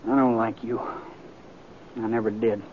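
An actor playing a blunt, reserved, plainspoken young American cowboy in an old radio western. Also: male voice, fairly low pitch, little pitch movement, a little slow, lightly acted. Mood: blunt, subdued. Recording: tinny vintage radio, faint noise.

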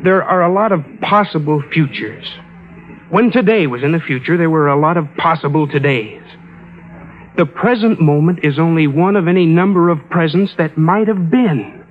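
Male actor as bereaved desperate man, slightly high pitch, urgent, insistent, 1940s radio acting, muffled old recording. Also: moderate pace, natural voice. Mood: earnest, insistent. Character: bereaved, desperate man insisting his love still lives.

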